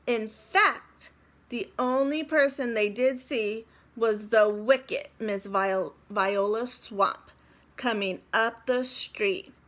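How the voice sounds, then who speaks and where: A woman reading a children's story aloud, with deliberate phrasing and expressive narration. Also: a little high.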